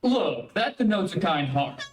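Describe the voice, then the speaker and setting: A man's voice: high-pitched, sing-song, unhurried. A man playing an exasperated, coaxing father in old-time radio comedy, with a placating, flustered manner. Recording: echoey, distant, faintly noisy stage stream.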